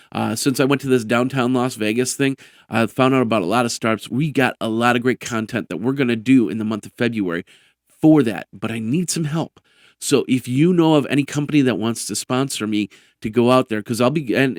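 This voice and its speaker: A man with a hoarse, raspy voice hosts a live tech news podcast, talking energetically and rambling with lots of fillers. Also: mid-range voice, no echo, clean studio sound. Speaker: tech show host.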